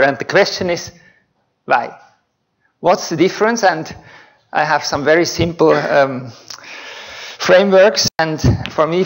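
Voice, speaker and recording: A man from Switzerland with a Swiss German accent, German his first language, giving an academic lecture in a halting way with many filler pauses. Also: a little high. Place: lecture hall.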